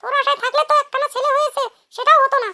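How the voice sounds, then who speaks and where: A performer voicing a brash, sharp-tongued village wife in a comic cartoon, scolding and taunting through a chipmunk-like pitch effect. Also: fairly even intonation, very fast, gravelly, exaggerated voice.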